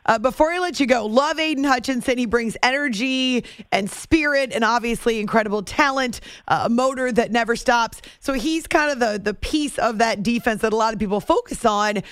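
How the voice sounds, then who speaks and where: A woman's voice: fairly high pitch, fairly quick, few pauses, clean tone, no echo, studio quality. A woman hosting a sports radio show, interviewing a guest in a conversational way.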